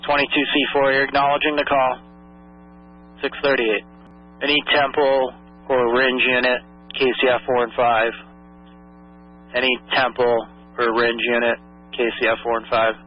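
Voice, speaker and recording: A man's voice: medium pitch, level tone, normal speed, pauses often. Male emergency dispatcher, procedural and clipped, repeating calls over lo-fi radio. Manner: procedural, repeats messages back in clipped phrases. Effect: two-way radio. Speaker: fire dispatcher. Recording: muffled lo-fi dispatch radio audio.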